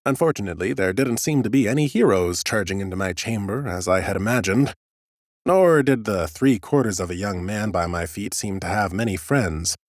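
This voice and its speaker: A man narrating an audiobook in the first person as an ancient undead scholar, a fussy, smug, whimsical gentleman with a theatrical, drily humorous delivery. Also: fairly low pitch, animated, moderate pace, dry recording, studio quality, lightly acted. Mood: dry, observant.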